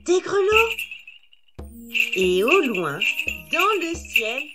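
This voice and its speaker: A woman narrating children's stories in an expressive storytelling style. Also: high voice, very slow, over loud music.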